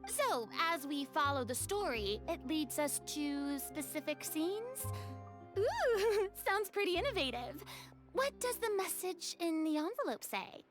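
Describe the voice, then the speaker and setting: An actress playing a cheerful, bubbly young woman in a video game, quick to laugh and curious. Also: very high-pitched, very animated, faint music behind, heavily acted.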